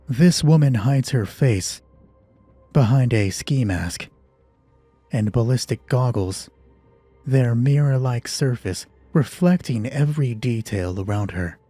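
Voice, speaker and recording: A man narrates a dramatic horror roleplay in a mellow, husky voice. His phrasing is measured and deliberate, and the recording is dry, with some music underneath. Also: mid-range voice, no echo. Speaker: host and narrator of a solo roleplay channel.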